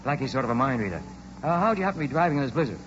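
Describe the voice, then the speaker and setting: Fast-talking, wry radio-play gangster played by a man. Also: medium pitch, sing-song, lightly acted. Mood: wry, friendly. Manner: wry, teasing, slangy. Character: smooth-talking male gangster on the run, cocky but courteous. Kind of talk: 1940s radio acting. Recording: dull old radio recording, faint noise.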